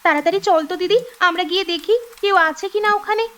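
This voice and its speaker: A woman plays a pair of caring young sisters in a village cartoon. Her voice is very high, and she talks urgently and earnestly. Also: fast, a bit bright. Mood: curious. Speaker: voice actor. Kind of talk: cartoon voice acting.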